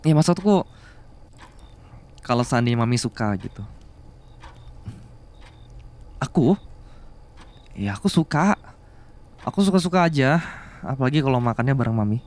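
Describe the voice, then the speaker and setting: A young man with an Indonesian accent playing a shy, adoring office worker in love with his boss, in an intimate ASMR roleplay, speaking haltingly and affectionately. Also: medium pitch, lively intonation, close to an everyday voice. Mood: sheepish, casual. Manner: hesitant, halting, softly affectionate. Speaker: voice actor in an audio roleplay.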